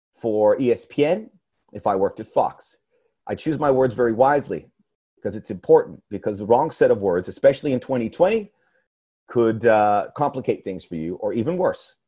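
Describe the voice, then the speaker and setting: An American man hosting a podcast in a middle-pitched voice. He talks earnestly and with emphasis, often doubling back to restart a phrase. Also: animated, normal speed, dark tone, breathy edge. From United States. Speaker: podcast host. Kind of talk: casual podcast talk.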